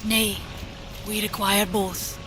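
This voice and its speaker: An actress playing a proud female forge leader in a fantasy video game, measured and commanding with archaic wording. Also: medium pitch, level tone, close to an everyday voice. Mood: solemn, urgent. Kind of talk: video game cinematic acting. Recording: music behind the voice.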